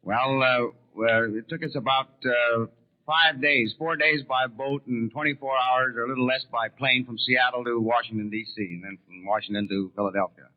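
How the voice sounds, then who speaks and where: A man, a delegate giving polite, measured radio interview answers with hesitant starts. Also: medium pitch, steady tone. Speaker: convention delegate being interviewed. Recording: muffled 1930s radio broadcast.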